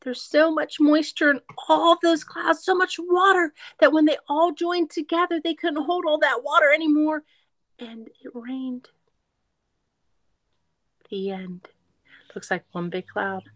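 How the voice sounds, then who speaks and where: A young woman reading a picture book aloud for small children, expressively, pausing to put questions to her listeners. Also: high voice, no room sound. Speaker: children's story reader.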